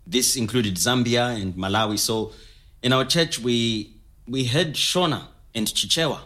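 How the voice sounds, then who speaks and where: A man's voice: medium pitch, a little slow, bright, forceful tone. A middle-aged Zimbabwean man in an audio drama, with an accent from home and a mid-range voice. He recounts his early life earnestly and thoughtfully, as if to an interviewer. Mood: matter-of-fact.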